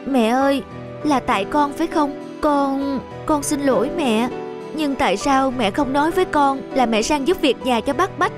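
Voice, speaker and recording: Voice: high-pitched, moderate pace, over music, close to an everyday voice. An actor playing an earnest, sensitive teenage student son in a cartoon drama, pleading and emotional in manner. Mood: remorseful. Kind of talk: animated drama dubbing.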